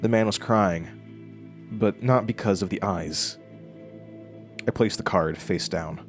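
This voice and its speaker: An actor playing a haunted, brooding man who narrates his horror story in an audio drama, halting and deliberate. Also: on the low side, faint music behind. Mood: ominous, measured. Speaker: narrator and main character.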